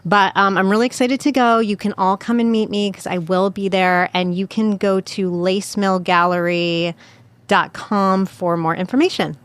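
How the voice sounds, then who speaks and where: An American woman hosting a local podcast, chatting casually and quickly, often laughing. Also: medium pitch, fairly even intonation, smooth. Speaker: podcast host. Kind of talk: casual chat.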